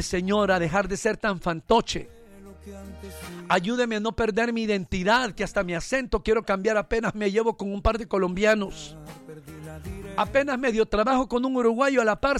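A man's voice: sits high, fairly bright tone. A male preacher giving a sermon full of jokes, then leading a fervent prayer. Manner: fervent, joking, conversational.

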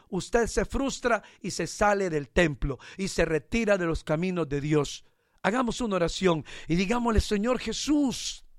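A man's voice: high-pitched, no echo, clean studio sound. A male preacher giving a sermon full of jokes, then leading a fervent prayer. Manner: fervent, joking, conversational.

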